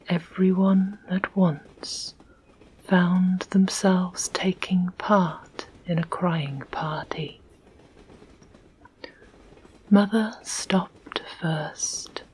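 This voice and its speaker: A woman narrating a classic children's book in a soft whisper, in an ASMR reading. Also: on the low side, breathy edge. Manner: soft, even, unhurried. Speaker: audiobook narrator.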